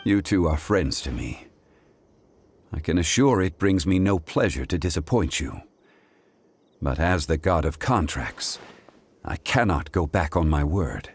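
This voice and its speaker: An actor playing an ancient, dignified god in human form in a fantasy video game, speaking in a grave, measured, formal way. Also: male voice, deep voice, lively intonation, husky, heavily acted. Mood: apologetic, grave.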